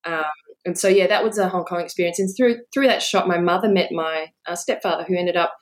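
Chatty woman, lower voice. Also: brisk. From Australia. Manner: warm, chatty, laughs often, many fillers. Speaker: guest, business owner. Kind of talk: casual interview storytelling.

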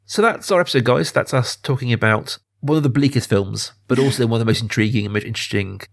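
A man's voice: medium pitch, fast, vocal fry. A man in his thirties hosting a podcast, discussing films casually and thinking aloud with qualifying asides. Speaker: podcast host.

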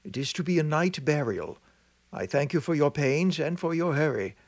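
Man playing a country clergyman, brisk, impatient, dry recording. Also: fairly high pitch, lively intonation, dry recording, pitched above the performer's own voice.

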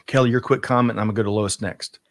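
Man, panel host, mid pitch, dry close mic, encouraging. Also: fairly even intonation, no room sound. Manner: upbeat, affirming, brisk. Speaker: webinar moderator. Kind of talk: hosting a panel discussion.

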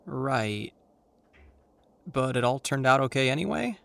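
An actor playing an anxious, awkward teenage boy in an audio drama, with a halting, dry, naturalistic delivery. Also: medium pitch, sing-song, gravelly, fairly bright tone, full character voice. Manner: halting, dry, self-conscious.

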